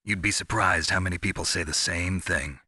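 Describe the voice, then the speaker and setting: An actor playing a gruff, world-weary covert soldier in a video game, terse and deadpan with a gravelly voice. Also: male voice, low-pitched, normal speed, no room sound, studio quality, exaggerated voice. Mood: curious, matter-of-fact. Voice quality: gravelly, husky. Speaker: soldier on a mission. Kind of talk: scripted video game voice acting.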